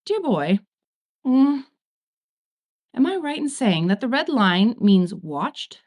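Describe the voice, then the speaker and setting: A woman's voice: a little high, very animated, slow, a little mellow, voice pushed up. A woman voicing a fussy, old-fashioned male angel with prim, formal phrasing in a story reading. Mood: suspicious, indignant.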